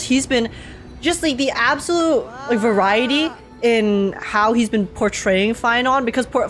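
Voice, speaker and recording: A young woman streaming a game, reacting casually and excitedly with giggly, slangy enthusiasm. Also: on the high side.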